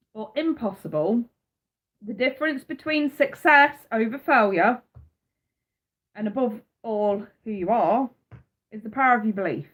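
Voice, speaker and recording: A woman with a stuffy nose from a cold, giving a blunt, fired-up live tarot reading and swearing freely. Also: on the high side. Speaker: tarot reader.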